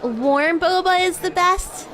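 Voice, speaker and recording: Woman playing a high-pitched bratty demon schoolgirl. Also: takes it slowly, a bit bright, some chatter behind, exaggerated voice. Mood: mock offended, teasing. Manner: teasing, coy, defensive, stammering when flustered. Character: bratty, cute, flustered young female demon classmate. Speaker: VTuber streamer. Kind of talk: improvised VTuber roleplay, casual chat with viewers.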